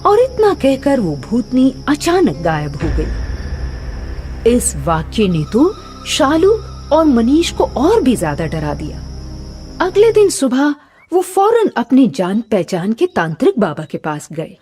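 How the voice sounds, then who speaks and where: A woman's voice: a little high, wildly swooping pitch, slow, mellow, soft background music. A woman narrating a horror story in a dramatic, scripted storytelling style.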